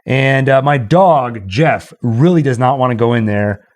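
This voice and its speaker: A man's voice: mid-range voice, a little slow. A man hosting a livestream in casual, chatty style. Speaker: host.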